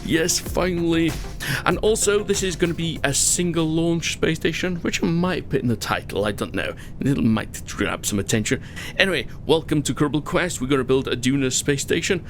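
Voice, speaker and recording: A man's voice: a little high, expressive, moderate pace, faint music behind. A Welsh man hosting a game video, chatting casually and poking fun at himself as he plays. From Wales. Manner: chatty and self-mocking, rambling asides, frequent laughs. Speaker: gaming channel host. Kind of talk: casual gameplay commentary.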